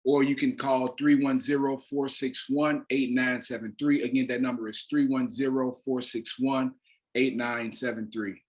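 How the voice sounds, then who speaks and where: A man's voice: medium pitch, flat delivery, moderate pace. American man in a casual promotional interview. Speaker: playwright and stage director, interview guest.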